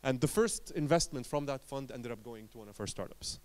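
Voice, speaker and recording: A man from Lebanon giving a conference talk as an entrepreneur, telling his story in short emphatic phrases with dramatic pauses. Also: medium pitch, expressive.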